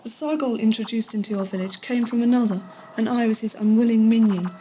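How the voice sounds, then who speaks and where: A woman's voice: a little high, fast, dark tone, slight background noise, lightly acted. A woman playing a repentant but assertive former henchwoman in an audio drama, speaking earnestly. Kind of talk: 1980s amateur audio drama acting.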